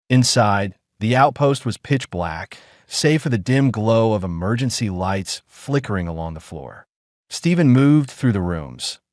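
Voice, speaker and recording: A male narrator reads a science fiction story with dramatic storytelling. His voice is low and swings expressively. The recording is close and dry, with no room sound. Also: no echo. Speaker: audiobook narrator. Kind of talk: scripted narration.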